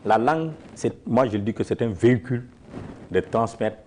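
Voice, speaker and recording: A man's voice: medium pitch, expressive, normal speed, mellow, a little hiss or hum. A man who leads a farmers' organization, answering interview questions emphatically, with frequent restarts.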